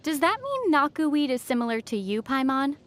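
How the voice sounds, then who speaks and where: High-voiced, teasing young heroine. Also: female voice, big pitch swings, normal speed, fairly bright tone. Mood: playful. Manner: playfully teasing, composed. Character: young female traveler heroine, calm, teasing, quick-witted. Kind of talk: video game character dialogue.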